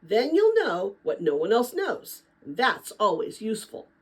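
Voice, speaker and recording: Storybook dad, played by a woman: middle-aged, kind and wise, emphatic and measured, lively inflection, faint rasp. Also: mid-range voice, exaggerated voice. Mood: encouraging. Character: kindly middle-aged father, wise and encouraging.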